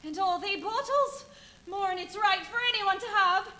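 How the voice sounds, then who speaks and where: Radio play: excitable, indignant country landlady, superstitious, exclamatory, very high and sharp. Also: moderate pace. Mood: hysterical, fearful. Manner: rustic, exclamatory. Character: superstitious, indignant village innkeeper woman, prone to hysterics. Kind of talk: live radio play acting.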